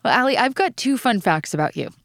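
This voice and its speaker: A woman's voice: fairly high pitch, animated, fast talker, a bit bright, no room sound. A woman hosting a podcast, asking her guest questions in an eager, upbeat way from a studio. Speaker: podcast host. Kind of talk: casual interview.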